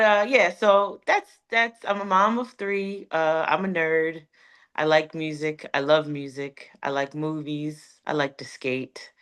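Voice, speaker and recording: American woman in her 30s, real low voice, casual chatty interview guest, pretty informal. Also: a bit bright, clear, resonant tone. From Connecticut, United States. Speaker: guest, gospel recording artist. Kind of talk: casual chat.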